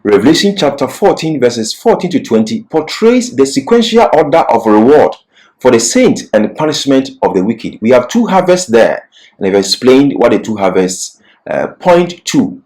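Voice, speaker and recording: A man's voice: medium pitch, big pitch swings, moderate pace, a little mellow, rough recording. A man giving an expository Bible lecture as a teacher, repeating key phrases for emphasis.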